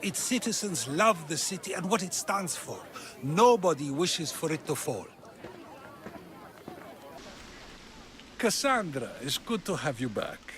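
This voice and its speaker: A man's voice: a little high, sing-song, normal speed, fairly bright tone. A man playing an eloquent, probing ancient Greek philosopher in video game dialogue, theatrical and full of rhetorical questions. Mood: hopeful. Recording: music under the voice.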